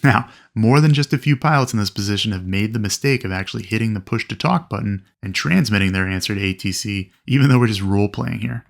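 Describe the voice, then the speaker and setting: A man narrating an instructional lesson as a flight instructor, explaining things clearly and methodically. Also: fairly low pitch, quick pace, few pauses. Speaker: flight instructor presenting a training video. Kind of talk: instructional narration with acted radio calls.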